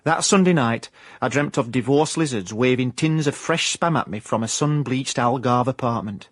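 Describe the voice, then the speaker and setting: An actor with a South Yorkshire accent playing a hapless ex-miner turned private investigator in a radio comedy-drama, deadpan and mock hard-boiled. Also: male voice, medium pitch, moderate pace, dry recording, clean studio sound, natural voice. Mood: wry narration. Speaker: narrator and lead actor. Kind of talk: radio comedy-drama with first-person narration.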